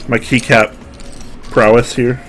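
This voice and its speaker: A man's voice: mid-range voice, slow. A young man casually chatting to his stream viewers at his home desk while he builds a keyboard, rambling and poking fun at himself. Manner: relaxed, rambling, self-deprecating, answers chat. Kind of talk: casual live-stream chat. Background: music playing.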